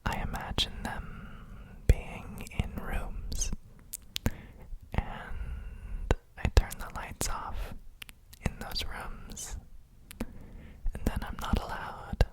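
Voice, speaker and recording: Woman, breathy whisper, extremely slow pace, gentle, soothing, long pauses, ASMR sleep guidance. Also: rough recording. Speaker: ASMR host guiding relaxation. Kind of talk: ASMR guided relaxation for sleep.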